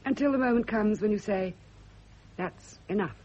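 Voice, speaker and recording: A woman's voice: mid-range voice, normal speed, darkish tone, slightly rough, close to an everyday voice. An actress playing a poised, genteel British woman in her thirties, polite and composed, in radio drama style. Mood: restrained, polite. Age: 30s. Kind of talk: 1970s radio drama acting. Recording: dull vintage radio recording, faint hiss.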